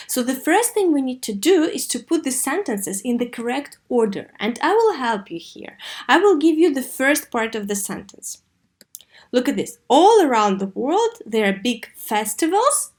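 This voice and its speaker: A woman with a fairly high voice leads an online lesson for children. She speaks in a didactic way, posing simple questions and supplying the answers. Key words come out slowly and carefully enunciated. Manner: didactic, asks questions and answers them herself. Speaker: English teacher for children. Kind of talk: scripted online lesson addressed to young learners.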